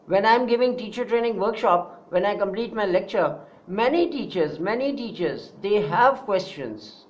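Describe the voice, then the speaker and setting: A Pakistani man with a Pakistani accent, an English teacher giving an earnest online spoken-English lesson, repeating key points and speaking straight to his students. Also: high-pitched. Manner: earnest, repetitive, addresses listeners directly. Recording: dull lo-fi recording.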